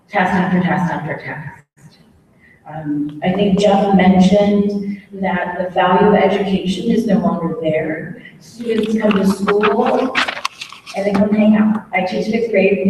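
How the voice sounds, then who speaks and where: An earnest woman teacher speaks at a public meeting in a steady, measured way, pausing often on fillers. Her voice sits at a middling pitch. It reaches the mic from a distance and echoes heavily around a large hall with long reverb. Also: slight background noise. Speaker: fifth-grade teacher. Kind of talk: public comment at a town hall.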